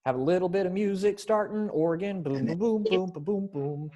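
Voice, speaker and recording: A man's voice: sits high, moderate pace, warm tone, lo-fi sound. A man in his thirties coaching a group over a video call in a casual, encouraging way, full of praise, as director of a rehearsal. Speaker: director and narrator of a readers theater rehearsal. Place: indoor room on a video call. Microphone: headset earphones on a video call.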